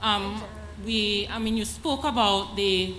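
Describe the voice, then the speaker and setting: A woman in the audience asking a question from the floor, hesitant and halting, correcting herself as she goes. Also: mid-range voice, fairly even intonation, background noise. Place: festival venue. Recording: echoey hall.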